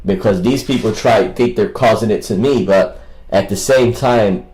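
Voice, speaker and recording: A man's voice: on the low side, moderate pace, darkish tone, rough recording. A man rambling casually as a livestream host, with a combative, mocking and coarse way of talking.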